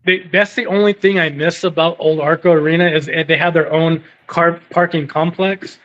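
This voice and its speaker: Rambling caller on a muffled line. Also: male voice, on the high side, steady tone, moderate pace, fairly bright tone. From California. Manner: rambling, lots of fillers and restarts, says dude. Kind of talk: casual chat on a call-in show. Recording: muffled phone-in audio.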